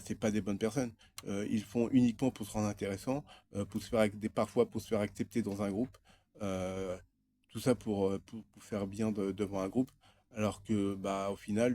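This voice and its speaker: A man's voice: fairly low pitch, warm tone, slight background noise. A man in his thirties from France, talking in a rambling, hesitant personal monologue full of fillers and restarts. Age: 30s. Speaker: vlogger.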